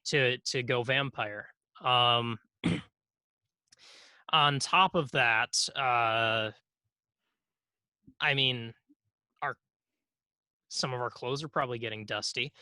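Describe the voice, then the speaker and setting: A man's voice: medium pitch, takes it slowly, pauses often, pushed and bright, clear, resonant tone, no room sound. A man co-hosting a podcast in casual comedic chat, rambling and hesitant, with dry jokes. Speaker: podcast co-host.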